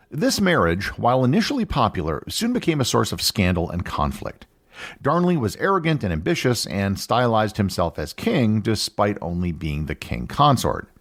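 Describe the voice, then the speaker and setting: Gravelly man narrating history. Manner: measured storytelling with wide pitch swings. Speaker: podcast host.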